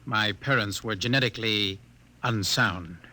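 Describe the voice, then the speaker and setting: An actor playing a wry, articulate outcast specimen in a 1950s science fiction radio drama, with a dry sardonic manner. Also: male voice, on the low side, a little slow, bright, forceful tone. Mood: wry, bitter. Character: wry outcast male human specimen with twisted leg.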